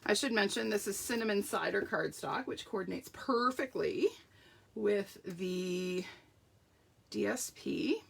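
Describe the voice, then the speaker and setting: A middle-aged woman with a croaky, sore throat, chatting through a live card-making tutorial while she works with her hands. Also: mid-range voice, unhurried. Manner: chatty, self-deprecating, talks while working with hands. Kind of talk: live craft tutorial with viewer shout-outs.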